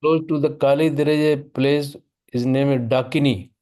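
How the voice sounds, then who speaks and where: A man speaking as a spiritual teacher, giving blessings and short greetings in simple, halting non-native English. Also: a little high, steady tone, mellow.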